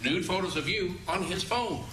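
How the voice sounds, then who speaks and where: A man's voice: high-pitched, moderate pace, sharp tone. An American man cross-examining as a defense attorney, with pointed, confrontational questions. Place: courtroom. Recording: echoey, noisy courtroom audio.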